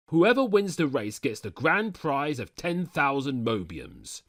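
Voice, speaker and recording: A man's voice: mid-range voice, fairly bright tone. A man reading scripted narration, retelling a cartoon adventure episode as a storyteller. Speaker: narrator of an animated-series recap.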